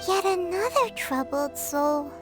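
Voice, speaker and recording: Tiny fairy companion, very high squeaky voice, swooping lively intonation, childlike, eager, game dialogue over loud music. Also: female voice, slow, full character voice. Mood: sympathetic, thoughtful. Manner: refers to herself in third person, expressive. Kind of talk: video game voice acting. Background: prominent background music.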